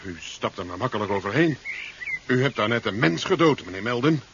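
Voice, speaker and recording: An actor playing a wary, principled village doctor with a measured delivery in a 1970s radio drama. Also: male voice, mid-range voice, animated, natural voice. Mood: troubled. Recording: dull, faintly noisy 1970s radio recording.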